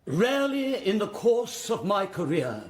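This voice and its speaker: An actor playing a formidable old-school prosecuting barrister in a radio drama, with an English accent and deliberate, righteous oratory. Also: male voice, high voice, sing-song, a little mellow. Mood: indignant, declamatory. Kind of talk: courtroom speech in radio drama.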